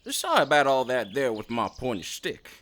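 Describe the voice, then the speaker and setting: A man's voice: medium pitch, huge pitch swings, normal speed, a bit bright. A man voicing a friendly, polite little frog-like creature with a folksy Southern US accent in an audio drama.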